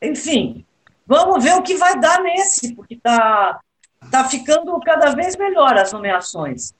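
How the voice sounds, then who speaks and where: A woman's voice: sits high, big pitch swings, unhurried. A woman with a Brazilian accent speaking as a panelist in a political discussion, with an ironic way of talking.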